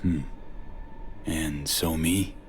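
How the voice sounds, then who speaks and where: A man's voice: extremely low pitch, fairly even intonation, drawn-out words, a little hiss or hum, exaggerated voice. A man playing a guarded, street-smart mercenary in a video game, terse and blunt, with casual slangy speech. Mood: wary, probing. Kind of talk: cinematic game acting, casual slangy dialogue.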